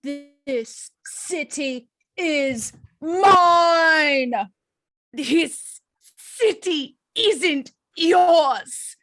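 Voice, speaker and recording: A woman's voice: sits high, animated, very slow, a bit bright, full character voice. A woman playing a tender, defiant young hero who commands rats, in an amateur table read. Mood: tearful defiance.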